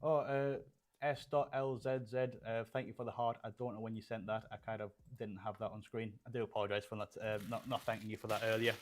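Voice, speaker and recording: A man's voice: lowish voice, little pitch movement, fairly quick. Chatty young man on a livestream. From Poland, raised in England. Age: young adult. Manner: chatty, rambling with fillers, reads chat aloud. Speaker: livestream host building gaming PCs. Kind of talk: casual livestream chat. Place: small studio room with shelves.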